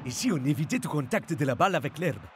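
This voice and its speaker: A man voicing a confident young male team captain in a French-dubbed cartoon, speaking calmly and encouragingly. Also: a little high, fast, over music. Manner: calm, encouraging team talk.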